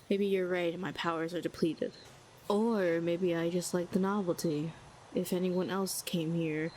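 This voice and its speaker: Audio roleplay: woman voicing a condescending female villain telepath, low and flat, dryly mocking. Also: a little hiss or hum, close to an everyday voice, poor audio.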